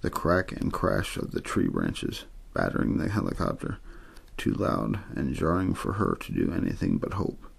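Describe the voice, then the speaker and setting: Male amateur narrator, ASMR story reading, deep voice, very creaky, understated delivery, pitch that dips and lifts. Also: huge pitch swings, moderate pace, hardly any pauses. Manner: untheatrical, low-key delivery with occasional stumbles. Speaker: amateur audiobook reader.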